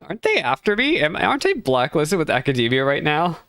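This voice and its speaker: A woman's voice: low-pitched, sing-song, brisk, a bit bright. A woman streamer giving casual, chatty, jokey live reactions to a game, often exclaiming.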